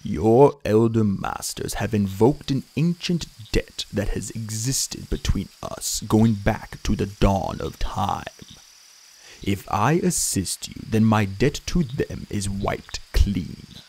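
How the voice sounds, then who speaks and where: Man doing Cernunnos, a slow, cold horned god. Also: creaky, slight background noise. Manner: soft, slow and deliberate; low rumbling delivery. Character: ancient male horned god, huge, cold and emotionless.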